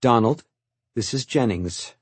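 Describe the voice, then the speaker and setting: A man's voice: mid-range voice, animated, measured pace, husky, no room sound. A man voicing a firm, scolding middle-aged mother at the dinner table.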